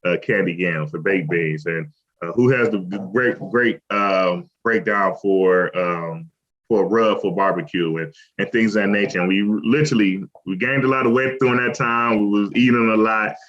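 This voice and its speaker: A man who owns a restaurant, talking in a relaxed, rambling way with lots of fillers as an interview guest, from Cleveland. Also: lowish voice, moderate pace. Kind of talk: casual interview talk. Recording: lo-fi muffled audio.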